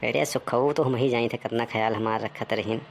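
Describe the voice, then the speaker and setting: A man plays a long-suffering village husband in a cartoon. He talks in exclamatory, theatrical Awadhi at an average pitch. The recording has clear noise behind the voice. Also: steady tone, normal speed, natural voice. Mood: resigned. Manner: exclamatory, theatrical rustic talk. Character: put-upon village husband, grumbling and melodramatic. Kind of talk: cartoon comedy dialogue.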